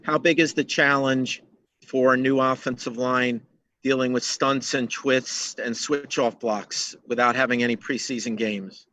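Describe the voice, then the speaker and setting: A man's voice: mid-range voice, steady tone, measured pace, bright, forceful tone. A man working as a reporter, putting questions on a video press call.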